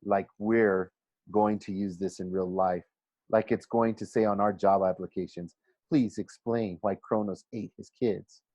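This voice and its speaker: A man's voice: lowish voice, fairly even intonation, a little slow, a little mellow, no echo, highs cut off. A snide young schoolgirl from a storybook, voiced by a man reading aloud. She mutters with mocking sarcasm, in a dry, close recording. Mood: sarcastic.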